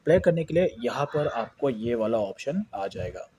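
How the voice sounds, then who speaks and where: A man's voice: medium pitch, very animated, moderate pace, background noise. A man with an Indian accent giving a casual step-by-step phone tutorial, explaining repetitively and mixing in English words. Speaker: tech tutorial host.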